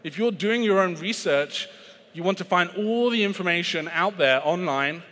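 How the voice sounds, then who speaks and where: A British man delivers a conference keynote in a bright, forceful voice pitched a bit high. He is chatty and self-deprecating, stumbling into fillers and restarts. A long reverb trails his words around the large hall. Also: lively intonation, normal speed. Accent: British English. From Britain. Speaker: keynote speaker on sales.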